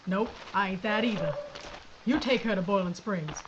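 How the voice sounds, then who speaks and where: A woman's voice: medium pitch, normal speed, background noise, lightly acted. A woman playing a tough Old woman sheriff. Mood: determined. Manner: dry, blunt, folksy. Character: tough gun-toting woman sheriff, blunt and stubborn. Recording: dull lo-fi audio.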